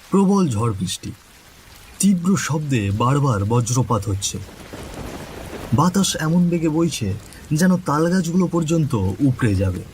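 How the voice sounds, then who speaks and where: A man's voice: medium pitch, a little mellow. A man giving a scripted, suspenseful narration of a story. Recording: faint rain noise behind voice. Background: rain ambience.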